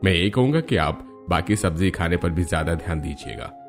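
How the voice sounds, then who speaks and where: A deep, lively-voiced cartoon doctor. He explains things patiently and swings widely in pitch. Soft music plays underneath. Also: normal speed. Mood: advising. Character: male doctor, calm and advising.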